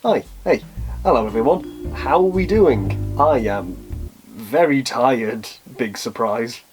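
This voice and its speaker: A man's voice: mid-range voice, lively intonation, slow, faint music behind. A man in his twenties chatting casually to camera as he answers questions, with a wry, self-deprecating manner. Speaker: YouTube host answering viewer questions.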